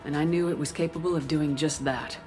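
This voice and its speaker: Low-voiced, firm military commander played by a woman. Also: steady tone, lightly acted. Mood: grave recollection. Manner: measured, authoritative. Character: female human military commander, grave, firm, determined. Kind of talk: video game dialogue and scripted narration. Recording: music under the voice.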